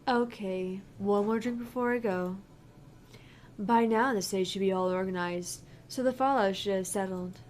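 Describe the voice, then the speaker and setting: A woman's voice: medium pitch, moderate pace, darkish tone, close to an everyday voice. A woman voicing a cheerful, airy young female pop idol in a video game, earnest and breezy. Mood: upbeat. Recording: clear background noise.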